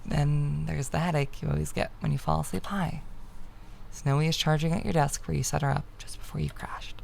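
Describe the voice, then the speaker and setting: A woman reading a visual novel aloud as dramatic narration, with hushed, breathy phrase endings. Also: low-pitched, steady tone, fairly quick, slight background noise. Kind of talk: dramatic second-person story narration.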